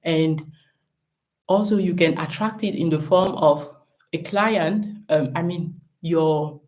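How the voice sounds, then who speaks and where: A woman from Cameroon speaks as a business coach in a relaxed live stream recorded at home. Her voice sits a little low. She encourages her viewers and thinks aloud, dropping in "um" and "right?" as she goes. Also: takes it slowly.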